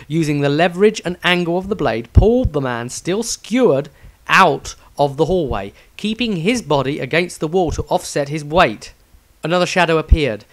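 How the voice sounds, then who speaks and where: A man reading a scripted fantasy adventure story as a dramatic narrator. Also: on the high side.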